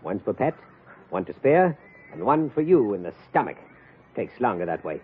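An actor playing a smug, cold-blooded impostor villain in an old-time radio thriller, taunting with mock politeness. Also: male voice, on the low side, sing-song, normal speed, slightly rough. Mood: smug menace. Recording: muffled, noisy old radio recording.

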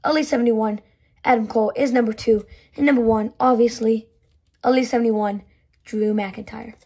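A woman with a hoarse voice from yelling, counting down her toy figure collection in a loose, chatty way, with plenty of "um" and "so yeah", filmed on a phone in a small indoor room. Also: fairly high pitch, fairly even intonation, normal speed. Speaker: toy collector channel host. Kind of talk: casual video countdown.

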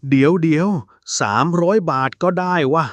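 Man voicing a gruff old uncle. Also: on the high side, steady tone, normal speed, dry recording, close to an everyday voice. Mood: haggling. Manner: gruff, scolding, easily bribed. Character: grumpy old uncle hired to fake a ghost. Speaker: cartoon voice actor.

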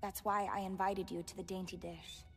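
An actress playing a cynical, sharp-tongued young woman rogue in an animated film, speaking bluntly and sardonically. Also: mid-range voice, moderate pace, lightly acted. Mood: hurt, bitter. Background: faint music.

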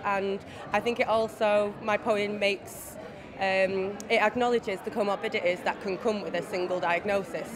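A woman in her twenties giving earnest, articulate interview answers. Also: medium pitch. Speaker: poet being interviewed. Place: indoor event space with display boards. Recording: crowd chatter behind the voice.

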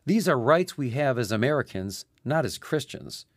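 A man's voice: medium pitch, very animated, normal speed, no room sound, studio quality. A man speaking as a pastor and ministry leader in a scripted radio message.

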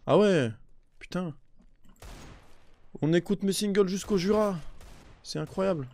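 A young man streaming a shooter game on a headset mic, chatting excitably with his viewers and swearing often. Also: sits high, unhurried, gravelly. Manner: excitable, swears a lot, talks to chat. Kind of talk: live gaming stream commentary. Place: indoor room with a gaming chair.

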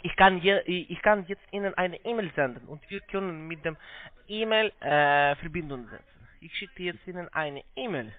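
A non-native speaker, a man with a foreign accent and halting, broken grammar, giving a phone sales pitch. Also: dry recording. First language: not German. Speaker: call-centre investment salesman. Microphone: telephone. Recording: muffled band-limited phone line.

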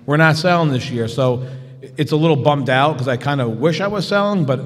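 Slightly high-voiced man, echoey hall. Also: fairly quick. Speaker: podcast co-host. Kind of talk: casual banter. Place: large convention hall. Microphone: boom-arm podcast microphone.

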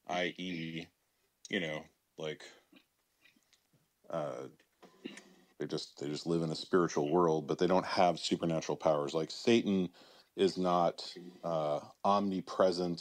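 A man's voice: low-pitched, a little hiss or hum. A man with a Chicago accent, talking bluntly and dismissively in a casual podcast discussion. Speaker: show regular.

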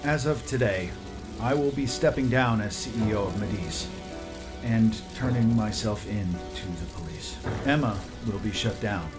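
An actor playing a remorseful middle-aged tech executive in a video game, speaking formally and solemnly as if making a public confession. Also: male voice, on the low side, over music, natural voice. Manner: solemn, formal, deliberate phrasing. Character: remorseful male tech CEO confessing his crimes. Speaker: company chief executive.

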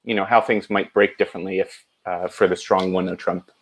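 A man co-hosting a bridge lesson on a video call, asking questions in a hesitant, soft-spoken way with murmured agreement. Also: deep voice, level tone, brisk. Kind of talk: casual chat on a video call.

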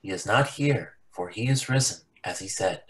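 A man's voice: lowish voice, expressive, moderate pace, lightly acted, poor audio. A man playing a reassuring angel proclaiming good news in a dramatic scripture reading. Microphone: video call.

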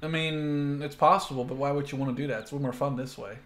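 A man's voice: mid-range voice, fairly even intonation, fast, fairly bright tone. A man in his twenties in casual gaming banter, teasing and swearing freely. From Netherlands. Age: 20s. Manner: profane, teasing. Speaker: gaming channel host.

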